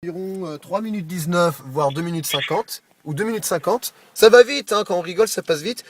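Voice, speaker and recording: A man with a high-pitched voice plays radio game host on a prank call. He improvises his patter and pushes his contestant along with hyped-up, boisterous urging. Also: brisk, a little hiss or hum. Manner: boisterous, pushy, hyped-up exhortations.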